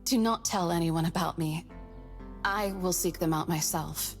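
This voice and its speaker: A woman playing a vengeful, wounded young sorceress in a fairy-tale video game, with a theatrical, measured delivery. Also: fairly low pitch, faint music behind. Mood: cool, guarded. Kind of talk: video game cutscene acting.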